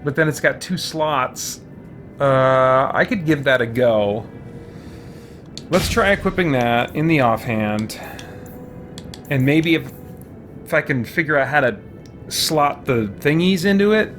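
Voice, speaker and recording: A man hosts a casual gaming playthrough, talking to himself as he works things out. His voice sits at a middle pitch, with music playing behind him. He mutters along and drops in mild exclamations. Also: animated, a little slow, pauses often, fairly bright tone. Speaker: gaming video host. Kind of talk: casual let's play commentary, thinking aloud. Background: game music.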